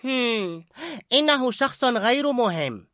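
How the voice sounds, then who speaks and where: A woman's voice: high-pitched, no room sound, clean studio sound, pitched above the performer's own voice. A woman voicing an eager young male rat who loves cooking and likes showing off what he knows. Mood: dismissive. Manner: excited, quick to show off.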